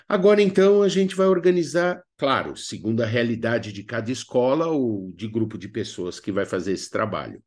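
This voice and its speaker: A Brazilian man narrating an instructional video as a workshop teacher, speaking expressively and didactically with emphatic pauses. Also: medium pitch, animated, darkish tone.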